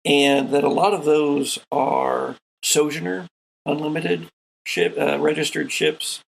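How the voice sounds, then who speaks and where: A man running a tabletop game with a very creaky, gravelly voice. His tone is a little husky, and he explains things in a measured way, pausing mid-sentence as he improvises. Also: a little high, level tone, rough recording. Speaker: game master and host.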